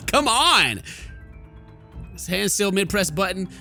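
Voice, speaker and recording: A man's voice: high-pitched, sing-song, takes it slowly, gravelly, sharp tone. A man doing playful, theatrical let's play commentary, exclaiming and reading game text aloud into a close mic. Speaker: gaming video host. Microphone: close microphone at a desk. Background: faint game music.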